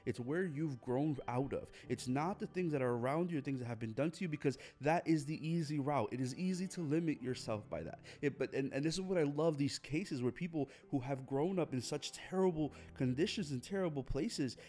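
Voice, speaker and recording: A man's voice: a little high, lively intonation, a little fast, few pauses, dark tone. A young man hosting his own podcast, talking earnestly and motivationally, often repeating and restarting his phrases. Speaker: podcast host. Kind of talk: motivational monologue.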